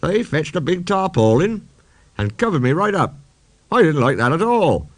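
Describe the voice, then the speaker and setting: A man's voice: a little high, big pitch swings, moderate pace, no room sound, voice pushed up. A man voicing a proud, indignant old male steam engine who tells grumbling, emphatic stories in a children's audiobook.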